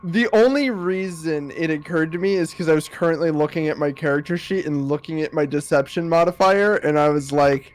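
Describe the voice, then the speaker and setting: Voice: mid-range voice, fairly quick, few pauses. A person chatting casually as a player in an online tabletop roleplaying game.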